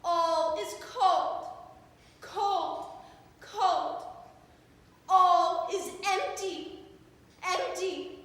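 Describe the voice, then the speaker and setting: A woman on stage playing a mournful universal soul in a declamatory, drawn-out theatrical monologue. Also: very high voice, fairly bright tone, exaggerated voice. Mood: solemn, mournful. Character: young actress solemnly playing the lone universal soul. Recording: echoey reverberant theatre, faint hiss.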